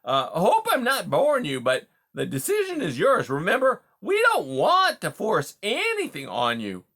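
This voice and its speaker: A huge male beaver from a storybook, pitched high and swooping widely. He is a pushy, smooth-talking bully, brash and folksy, and he bulldozes through every conversation. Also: huge pitch swings, normal speed, a bit bright, heavily acted. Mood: falsely polite menace. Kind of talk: audiobook character dialogue.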